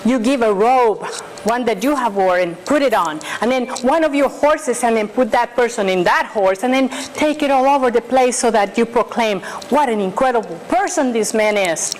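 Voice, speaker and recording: A woman's voice: a little high, moderate pace, a little mellow, big-room sound, a little hiss or hum, close to an everyday voice, poor audio. A woman voicing a scheming, arrogant villain man with a pompous, self-important way of talking.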